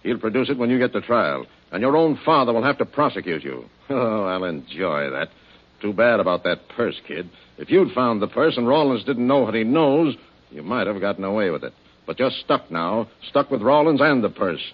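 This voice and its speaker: A man's voice: on the low side, lively intonation, normal speed, breathy edge. An actor playing a gloating, menacing crime boss and vengeful father in an old-time radio crime drama, needling and taunting. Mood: gloating menace. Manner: taunting, needling, calls the other kid. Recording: muffled old radio broadcast recording.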